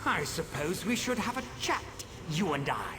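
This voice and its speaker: A haughty demon prince from a video game, high-voiced and swooping through his phrases. He is theatrical and condescending, savoring his menace with mock politeness. A clear ambient rumble sits behind him. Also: very animated, normal speed. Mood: smug. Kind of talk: video game villain acting.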